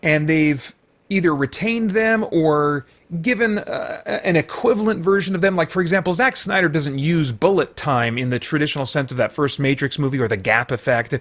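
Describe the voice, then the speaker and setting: An American man hosting an unscripted movie review in a halting way, searching for words and letting thoughts trail off. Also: on the high side, a bit bright. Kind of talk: unscripted, off-the-cuff film review.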